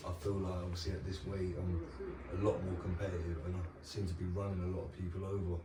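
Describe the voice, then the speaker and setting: A British arm wrestler talking confidently and quickly, often saying "you know what I mean". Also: male voice, sits low, little pitch movement, distant mic. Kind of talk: casual chat. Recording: roomy, from off mic, crowd noise behind.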